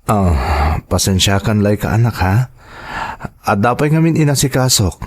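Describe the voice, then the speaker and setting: A man plays an evasive father in an audio drama. His voice is fairly low, and he talks hesitantly to those close to him. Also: big pitch swings, measured pace. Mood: apologetic, evasive. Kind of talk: Ilocano audio drama acting.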